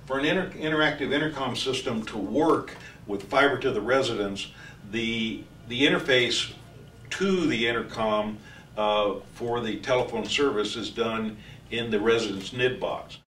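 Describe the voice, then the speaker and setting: A man in his sixties giving a technical product demonstration as a trainer, speaking haltingly with many pauses, recorded from a distance in an office meeting room. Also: medium pitch, fairly bright tone. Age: 60s. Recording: distant mic, echoey room, faint noise.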